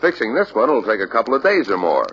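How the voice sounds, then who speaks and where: An actor playing a courteous truck salesman in a scripted training film, making a polite, reasoned pitch. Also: very animated, fast talker, a bit bright, breathy edge, exaggerated voice. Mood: persuasive. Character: persuasive, courteous truck salesman, adult man. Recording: dull lo-fi old training film soundtrack.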